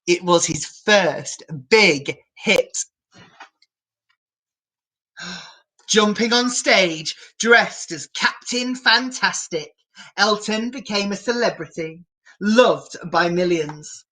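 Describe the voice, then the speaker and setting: Woman doing storytime for kids, takes it slow, playful n theatrical, medium pitch. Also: animated, a bit bright. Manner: theatrical, playfully self-mocking. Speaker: children's storyteller, drag queen. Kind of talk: picture-book read-aloud with playful asides to viewers.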